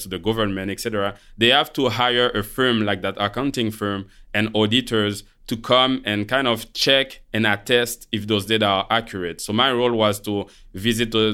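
A man's voice: lowish voice, pushed and bright, clear, resonant tone. A man from Haiti with a Haitian French accent, a native speaker of Creole and French, explaining things conversationally as a podcast co-host. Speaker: podcast co-host, portfolio manager. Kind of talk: conversational interview, explaining career.

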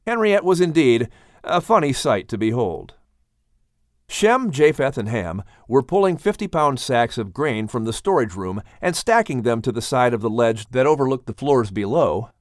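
An animated male storyteller narrates for children in a mid-pitched voice. His delivery swings expressively through the phrases, captured in a dry, close recording. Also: normal speed, dry recording. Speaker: audiobook narrator.

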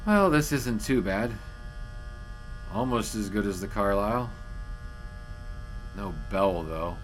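An actor playing a plucky, wry and wordy newspaper sportswriter from the 1920s in a radio drama. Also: male voice, fairly low pitch, lively intonation, slow pace, background noise. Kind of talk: radio drama acting with first-person narration.

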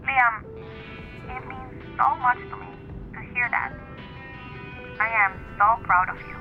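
A woman in an audio drama playing a caring, easily flustered healer with a gentle, encouraging, sincere manner. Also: on the high side, slow pace, muffled recording, faint music behind. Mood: tender, proud.

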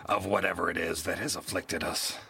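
A man playing a gruff, scheming captain of an old sailing ship in an audio drama, with commanding, salty seafaring speech. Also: low-pitched, steady tone, brisk, background noise. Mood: grave, scheming. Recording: loud ship and sea ambience behind.

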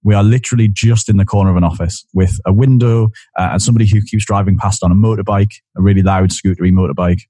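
A man's voice: on the low side, fairly even intonation, quick pace, hardly any pauses, mellow, breathy edge, no echo. A man co-hosting a podcast, easygoing and adding technical details, recorded on a studio mic in an office corner. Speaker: podcast co-host. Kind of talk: casual chat. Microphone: studio condenser mic on boom arm.

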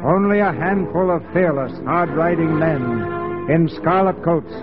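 Muffled vintage radio narrator, higher-pitched man. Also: unhurried. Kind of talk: 1940s radio drama narration, scripted, dramatic. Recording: muffled 1940s radio recording with music.

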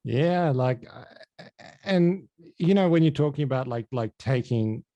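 A man interviewing a guest, working his questions out slowly with many fillers and restarts. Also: mid-range voice, lively intonation, dark tone, no room sound. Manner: rambling, halting, heavy with fillers and restarts. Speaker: podcast interviewer. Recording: dull, dry sound.

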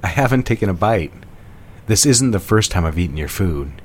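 A man playing an affectionate, sentimental gentleman lover in audio drama dialogue. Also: sits low, big pitch swings, normal speed, a little hiss or hum.

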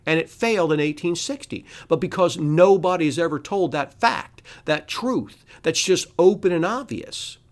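A man's voice: fairly high pitch, expressive, normal speed, few pauses. A middle-aged man hosting a podcast, delivering a solo commentary full of sarcastic rhetorical questions and scoffing laughs. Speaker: podcast host. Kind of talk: solo political commentary monologue.

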